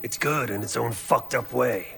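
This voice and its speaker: An actor playing a gruff, weary veteran soldier in a video game, blunt and casual, loyal underneath. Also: male voice, medium pitch, moderate pace. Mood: gruff, reflective. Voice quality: gravelly, forceful. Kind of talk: naturalistic video game acting.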